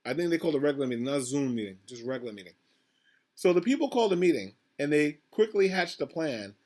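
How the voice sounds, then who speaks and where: Casting: male principal doing a children's read-aloud, slightly raised pitch, conversational asides, questions to the listeners. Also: big pitch swings, fast, a little mellow. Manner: addresses children directly, asks questions, repeats himself. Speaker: school principal reading aloud.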